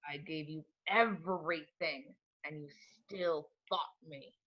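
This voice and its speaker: A man's voice: on the high side, fairly even intonation, slow. A man playing a bitter, volatile older father in an amateur script read. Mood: wounded. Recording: dull lo-fi home recording.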